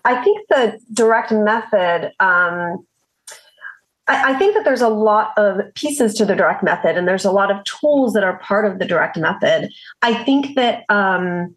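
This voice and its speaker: Woman explaining steadily with pauses. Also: medium pitch, expressive, low-quality recording. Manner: measured, with many "um" pauses. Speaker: guest educator. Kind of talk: casual conversation.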